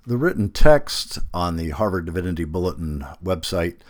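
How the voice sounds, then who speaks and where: Male narrator, deep pitch, animated intonation, scripted essay reading, measured deliberate phrasing. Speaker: narrator reading an article aloud.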